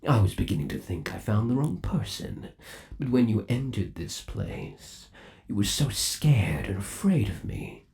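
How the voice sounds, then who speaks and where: A possessive animatronic rabbit boyfriend, acted by a man, for an ASMR roleplay. He coos with sinister devotion in a gravelly voice, drawing his words out slowly. His pitch swoops up and down theatrically as he dotes. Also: very animated, a little mellow, full character voice. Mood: adoring, obsessive. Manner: slow, doting, theatrical cooing.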